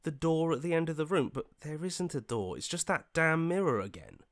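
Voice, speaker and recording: A man's voice: a little high, lively intonation, a little fast, no room sound, clean studio sound. An actor playing an anxious, earnest young male hero in a video game, hesitant and pleading, sometimes stammering. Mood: cautious.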